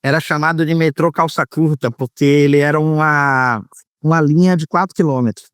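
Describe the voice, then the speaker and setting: A man from Bahia speaks as an interview guest, explaining at length and pausing often to find his words. His voice is smooth and clear and sits slightly high. The sound is dry and close. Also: steady tone, dry recording. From Salvador, Bahia, Brazil. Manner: measured, explanatory, many hesitations. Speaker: former marketing executive, guest. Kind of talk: interview answers.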